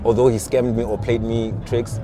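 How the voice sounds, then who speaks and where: A man's voice: mid-range voice, level tone, moderate pace. A man in casual conversation, earnest and searching for words, often repeating himself as he explains. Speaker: interviewee, spiritual seeker. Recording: music playing loud behind.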